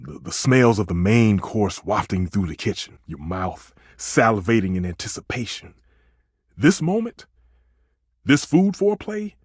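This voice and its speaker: A man's voice: lowish voice, breathy edge, dry recording, studio quality. A man voicing a passionate, temperamental head chef in a video game, theatrical and emphatic in delivery. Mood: rhapsodic. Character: temperamental, passionate male chef, a living kitchen stove. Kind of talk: video game character acting.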